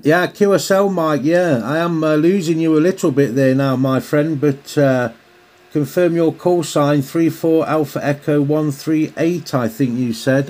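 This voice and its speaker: A man's voice: a little high, darkish tone, a little hiss or hum. A man chatting as an amateur radio operator, courteous and using radio procedure phrases. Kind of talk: two-way radio contact chat.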